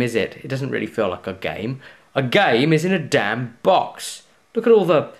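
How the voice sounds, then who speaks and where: Male host for a tabletop game unboxing: mid-pitched, informal, jokey, a bit theatrical. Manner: playful, jokey, theatrical flourishes, chatty. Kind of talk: casual unboxing review to camera.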